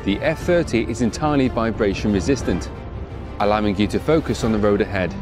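A man's voice: fairly low pitch, brisk. Man reading a product voice-over. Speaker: product video narrator. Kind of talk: scripted promotional voice-over. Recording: voice-over over music bed. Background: background music.

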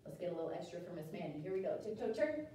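An American woman in her thirties teaching a toddler dance class in an animated, encouraging, sing-song way. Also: lowish voice, racing, slight background noise. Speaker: children's ballet teacher. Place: indoor dance studio with wooden floor. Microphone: camera several metres away. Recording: very echoey studio, distant microphone, music.

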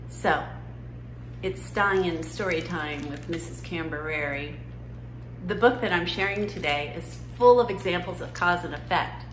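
A middle-aged woman talking to children as an educational story time presenter, recorded indoors in a living room. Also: on the low side. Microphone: camera a few feet away. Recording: roomy room sound, faint noise.

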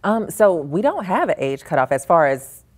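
A woman's voice: on the low side, very animated, normal speed. A woman in her thirties giving informative answers as a guest spokeswoman in a TV studio interview. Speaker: arts center spokeswoman, guest. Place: small indoor TV studio. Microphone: lapel mic.

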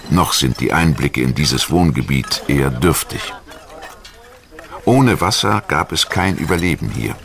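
A man reading a scripted history documentary narration in a measured, articulate storytelling manner. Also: a bass voice, a bit bright, slight background noise. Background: music.

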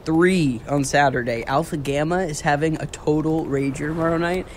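A young American man playing a brash, excitable slacker college student in a naturalistic audio drama. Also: a little high, normal speed, a little hiss or hum, natural voice. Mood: casual, boastful. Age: young adult.

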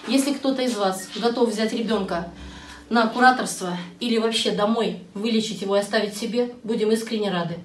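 A woman's voice: mid-range voice, fairly quick, a little hiss or hum. A middle-aged woman rescuing animals, speaking earnestly to viewers and tenderly to sick puppies while ill with bronchitis, filmed on a phone indoors. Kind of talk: casual appeal to viewers. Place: veterinary clinic and home room, indoors.

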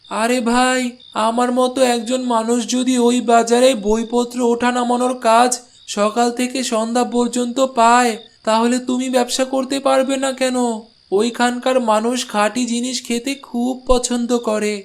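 A man's voice: very high voice, level tone, moderate pace, gravelly. A man voicing a kindly, helpful village porter who reassures his friend in a cartoon.

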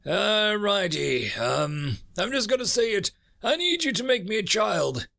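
Man voicing an awkward, high-pitched universe. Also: very animated, unhurried, vocal fry, pushed and bright, full character voice. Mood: awkward, sheepish. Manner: hesitant, stammering, polite. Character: the universe as an awkward, apologetic, bashful cosmic being.

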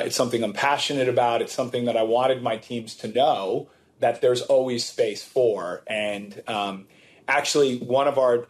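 A man in his forties joining a panel remotely from an office meeting room, speaking in a friendly, conversational way. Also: mid-range voice. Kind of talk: panel discussion.

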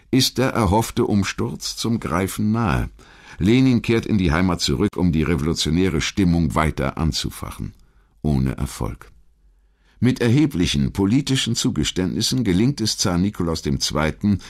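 A man reading a scripted historical documentary narration in a measured, articulate way. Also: low-pitched.

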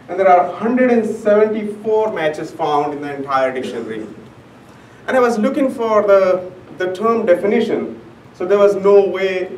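A young man, a native Urdu speaker, presents at a conference in a fairly high voice, halting often with fillers. He is recorded from far off in an echoey room. A faint hum runs underneath. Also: a little mellow. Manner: halting, many fillers and restarts. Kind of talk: academic conference talk. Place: conference room, large and indoors. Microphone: room camera mic from far off.

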